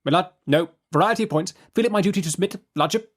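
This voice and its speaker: Obsequious, high-voiced lawyer. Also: male voice, fast talker. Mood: deferential, eager. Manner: clipped fragments, deferential, interrupting. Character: obsequious, bumbling male lawyer, clipped and eager. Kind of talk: audiobook character acting.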